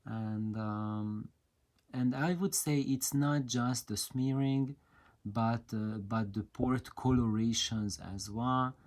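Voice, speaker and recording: A man giving an unscripted technical explanation as a presenter, with a hesitant, halting delivery full of drawn-out fillers. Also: mid-range voice, fairly even intonation, darkish tone. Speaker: audio hobbyist presenter.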